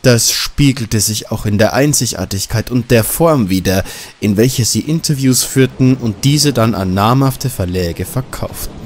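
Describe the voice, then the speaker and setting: A man's voice: medium pitch, unhurried, a little hiss or hum. A man narrating a scripted horror story as an audiobook.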